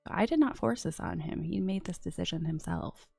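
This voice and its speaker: A woman streaming a strategy game and chatting casually, a bit sniffly from a runny nose, often dropping into soft whispered asides. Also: fairly low pitch, animated, normal speed, gravelly, breathy edge, dry recording, faint music behind. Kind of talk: casual gameplay commentary.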